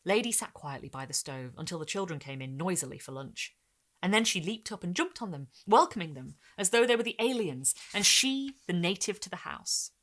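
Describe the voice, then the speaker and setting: A woman reading a gothic short story expressively, in a measured, dramatic way with careful phrasing. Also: on the low side, expressive, fairly bright tone. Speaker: narrator and host.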